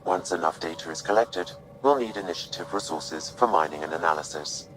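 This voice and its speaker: A low male computer intelligence voiced by an actor from a sci-fi game. He explains in a measured, formal, unemotional way over a clear music score. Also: close to an everyday voice, poor audio. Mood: calm, analytical. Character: calm, precise male artificial intelligence assistant. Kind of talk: video game cutscene acting.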